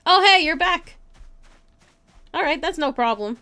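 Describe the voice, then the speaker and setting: A woman's voice: sits high, lively intonation, a little slow, sharp tone. A woman streaming a video game live with casual, chatty commentary, often muttering to herself and to game characters.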